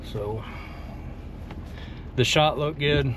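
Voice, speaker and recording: A man in his forties with a Texan accent, filming himself on a phone while bowhunting, talking in a relaxed, plain-spoken way. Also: mid-range voice, extremely slow, a little hiss or hum. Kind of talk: casual self-filmed hunting vlog. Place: pickup truck cab and outdoors on a farm. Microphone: phone camera at arm's length.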